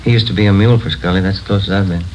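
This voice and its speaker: A slightly noisy, muffled radio recording of an American detective sidekick, played by a male actor. He speaks fast and rather low, plain and informal, in vintage police drama style. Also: fairly even intonation, darkish tone, lightly acted. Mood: businesslike. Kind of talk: 1950s radio drama acting. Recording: muffled old radio recording, faint noise.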